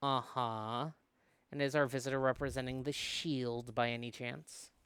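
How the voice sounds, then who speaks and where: A man voicing a florist father who is gentle and wry with his daughter in a visual novel. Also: mid-range voice, fairly even intonation, a little slow, clear, resonant tone.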